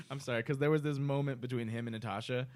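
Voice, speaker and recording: Man, podcast co-host, medium pitch, casual retelling, conversational quoting, profane. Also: fairly even intonation, fast, darkish tone, no echo. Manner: profane, acts out quotes conversationally. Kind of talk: casual film discussion among friends.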